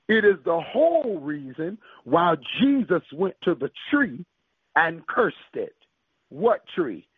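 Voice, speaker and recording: High, gravelly preacher man over radio. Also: dry recording. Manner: emphatic call-and-response preaching, quoting scripture. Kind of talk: sermon. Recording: tinny phone-like radio broadcast.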